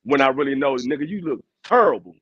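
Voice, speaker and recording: A man's voice: mid-range voice, animated, quick pace, a bit bright, slightly rough, no echo, lo-fi sound. A man, co-hosting a podcast and chatting casually, joking and insisting, laughing often. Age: 40s. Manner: joking, emphatic, laughs a lot. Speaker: podcast co-host. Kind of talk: casual chat.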